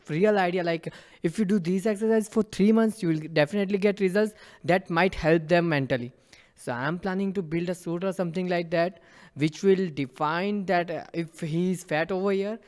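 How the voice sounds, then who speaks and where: A male student sharing an idea in class, speaking haltingly with many fillers and restarts. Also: high-pitched, a little mellow.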